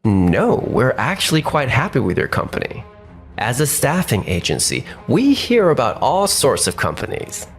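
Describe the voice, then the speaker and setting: A man acts out a dependable, courteous office worker from a dubbed comic drama. His voice sits at a middling pitch and stays polite and measured. Music plays softly behind. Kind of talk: anime-style dramatized dub acting and narration.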